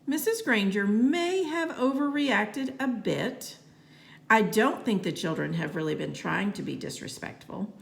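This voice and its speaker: A woman's voice: medium pitch, expressive, unhurried, creaky. A woman voicing an uneasy female school principal, evasive and falsely breezy, in a children's storybook. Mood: uneasy, placating. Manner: breezy, downplaying. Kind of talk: acted dialogue in a children's read-aloud.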